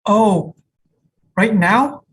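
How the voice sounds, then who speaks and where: An actress playing an easygoing, wry job applicant in a sitcom table read. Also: female voice, mid-range voice, extremely slow. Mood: upbeat. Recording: distant home microphone.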